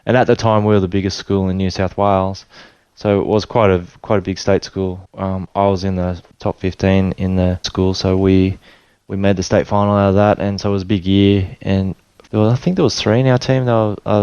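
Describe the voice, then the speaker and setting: A middle-aged Australian man telling his life story in a relaxed, rambling way with many fillers and restarts. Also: deep voice, normal speed, smooth, no echo, dull audio. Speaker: interview guest. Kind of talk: casual storytelling interview.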